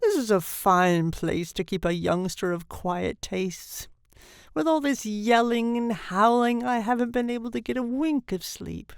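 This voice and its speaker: A woman's voice: high voice, big pitch swings, measured pace, hardly any pauses, dry recording, studio quality, pitched above the performer's own voice, full character voice. A woman voicing a querulous seventy-year-old newborn man in a storybook, with a weak, cracked, whining voice. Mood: whining protest. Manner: complaining, querulous.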